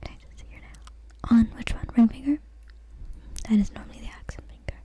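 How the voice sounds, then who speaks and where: A woman role-playing a friendly nail salon technician, whispering softly close to the mic and slowly repeating words. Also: a little high, close to an everyday voice. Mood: gentle. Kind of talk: ASMR whispered roleplay.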